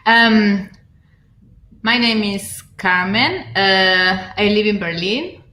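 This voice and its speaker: Slow-talking woman. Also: medium pitch, bright, forceful tone, a little hiss or hum. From Argentina. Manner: slow, unhurried, with fillers. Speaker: panel guest. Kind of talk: self-introduction on a panel. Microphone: video call. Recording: echoey room on a video call.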